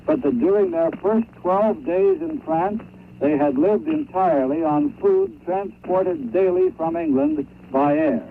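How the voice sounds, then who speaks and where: A man's voice: high-pitched, gravelly. A man delivering a scripted 1930s war correspondent's report, told like a story, over muffled, noisy shortwave radio.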